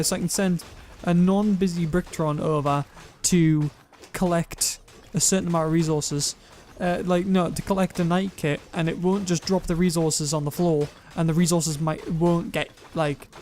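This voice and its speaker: A young man with a self-described monotone voice, rambling through gameplay commentary and viewer comments, often correcting himself mid-sentence. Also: on the high side, a little hiss or hum. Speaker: gaming YouTuber.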